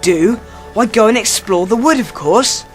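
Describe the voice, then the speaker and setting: A decisive British schoolboy, the bossy but fair eldest brother in a radio drama, played by an actor. The delivery is very animated and expressive, with music clearly playing behind it. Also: mid-range voice, measured pace, lightly acted. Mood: eager.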